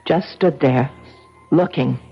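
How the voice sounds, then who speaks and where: An actress playing a frightened, insistent farmer's wife in a radio drama, speaking in a breathy, halting, emphatic way. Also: female voice, a bass voice, soft background music, exaggerated voice. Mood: shaken, recounting. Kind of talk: old radio drama acting. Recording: dull, muffled old radio recording.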